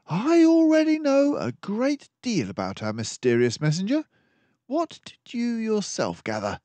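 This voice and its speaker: Here is a man reading aloud as a storybook mouse detective, confident and formal. The voice sits high above a normal man's and swings widely as it lectures with precise self-assurance. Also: wildly swooping pitch, unhurried, warm tone, no room sound, heavily acted. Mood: self-assured, brisk.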